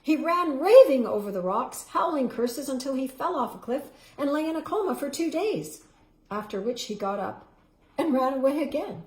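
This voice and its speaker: A middle-aged woman reading a picture book aloud, dramatic in the loud parts and hushed to a whisper in the quiet ones. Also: high-pitched, moderate pace. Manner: expressive, dramatic rises and hushed, whispered endings. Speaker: storybook reader. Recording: roomy echo.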